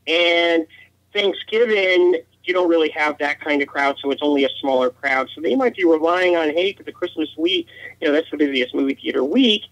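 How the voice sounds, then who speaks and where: A man's voice: fairly high pitch, moderate pace, fairly bright tone, no echo, muffled recording. A man speaking as a podcast guest, arguing his opinions emphatically in a casual conversational style.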